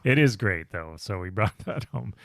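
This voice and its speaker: A man's voice: on the low side, animated, normal speed, gravelly, a bit bright, no echo, clean studio sound. A man co-hosting a podcast in casual chat, chatty and enthusiastic, laughing often. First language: not Hawaiian. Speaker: podcast co-host.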